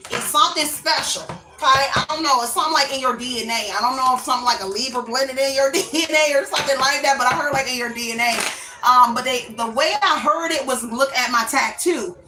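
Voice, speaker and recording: A woman's voice: sits high, brisk, reverberant, noisy background. A woman giving a casual, rambling tarot reading to her viewers. She often hedges with "I don't know" and calls the listener "baby.". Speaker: tarot reader.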